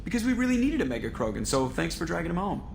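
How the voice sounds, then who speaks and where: A man's voice: on the high side, big pitch swings, racing, creaky, darkish tone, slight background noise. A man playing a wisecracking, irritable starship pilot in a video game, talking in a wry, sarcastic way. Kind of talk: video game character acting.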